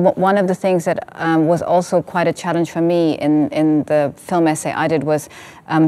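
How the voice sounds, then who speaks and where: A woman speaking as a writer and filmmaker in a reflective artist talk, searching thoughtfully and haltingly for her words. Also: sits low, mellow. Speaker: writer and essay filmmaker on a panel.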